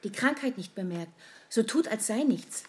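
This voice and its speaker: A woman's voice: mid-range voice, lively intonation, moderate pace, a little mellow, a little hiss or hum, natural voice. An actress playing an anxious, self-conscious woman who rambles and questions herself in a conversational radio play. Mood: self-doubting. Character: anxious, self-conscious woman fretting over how she smells. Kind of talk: modern radio play acting, conversational monologue.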